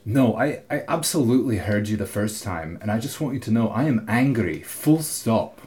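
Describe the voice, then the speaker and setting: A young man co-hosting a casual podcast, telling little stories with a wry, animated delivery. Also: lowish voice, normal speed. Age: young adult. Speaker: podcast co-host. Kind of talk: casual chat.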